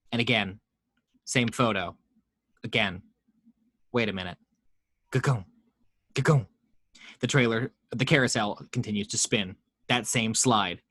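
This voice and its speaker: A man's voice: fairly low pitch, a bit bright, no room sound, studio quality. A man reading the action lines of a screenplay aloud at a home table read, steadily, voicing the sound effects. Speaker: narrator reading action description.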